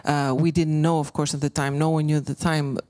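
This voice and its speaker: A woman's voice: deep voice, level tone, warm tone. Greek woman journalist speaking on a panel. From Greece. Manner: measured, with frequent fillers and restarts. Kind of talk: panel discussion talk.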